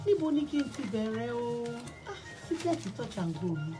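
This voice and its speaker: Actress as dramatic housewife, lamenting, high lively voice, radio commercial, music behind. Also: female voice, a little fast. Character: housewife lamenting a big pile of laundry. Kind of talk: acted Yoruba radio advert.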